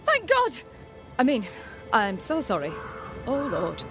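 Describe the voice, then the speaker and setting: A woman's voice: sits high, huge pitch swings, slow, a bit bright, faint music behind, exaggerated voice. An actress playing a flustered, prim, pious colonial townswoman in a video game, fussy and apologetic. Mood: shaken. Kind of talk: scripted video game cutscene acting.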